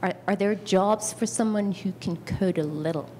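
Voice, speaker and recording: A woman in her thirties asks a question from the floor, chatty and self-deprecating. She plays out her own reactions in little asides. A faint rumble sits under the recording. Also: moderate pace, darkish tone. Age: 30s. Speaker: audience member asking a question.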